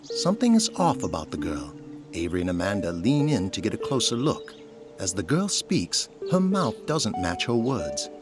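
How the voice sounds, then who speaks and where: Male narrator for audio drama: low register, very varied intonation, descriptive storytelling over a music bed. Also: very animated, normal speed.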